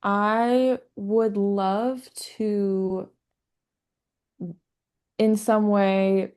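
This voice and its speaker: A woman's voice: medium pitch, level tone, extremely slow, darkish tone, smooth. Chatty nineteen-year-old woman. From Canada. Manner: chatty, frequent "like" fillers. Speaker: singer-songwriter guest. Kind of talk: casual interview. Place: remote call.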